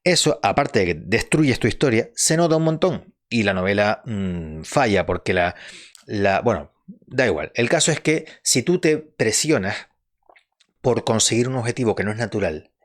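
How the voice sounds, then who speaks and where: A man's voice: on the low side, lively intonation, moderate pace. A man, a novelist giving casual advice on writing, talking in a rambling way with restarts and turning emphatic on key points. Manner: conversational, rambling with restarts and fillers; emphatic and earnest when stressing a point.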